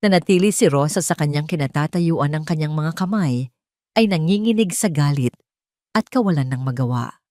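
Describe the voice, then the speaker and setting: A woman's voice: low-pitched, animated, normal speed, a little mellow, no echo, studio quality. A Filipino woman reading a scripted dramatic story as its narrator.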